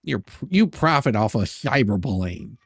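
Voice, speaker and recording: Sarcastic, gravelly man hosting a stream. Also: fairly low pitch, very animated, no echo. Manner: sarcastic, joking, halting with repeated words. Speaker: live stream host. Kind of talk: casual chat, reading messages aloud with sarcastic commentary.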